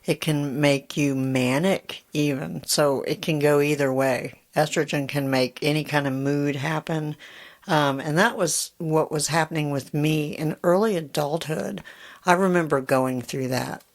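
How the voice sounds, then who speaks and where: Deep-voiced woman, candid and joking. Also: fairly even intonation, measured pace. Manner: candid, joking, laughs easily. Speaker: podcast co-host. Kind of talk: casual chat.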